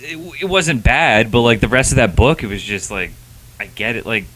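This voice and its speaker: A man's voice: mid-range voice, lively intonation, normal speed, fairly bright tone, slight background noise, rough recording. A man co-hosting a casual review chat, giving blunt, slangy opinions. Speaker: podcast co-host.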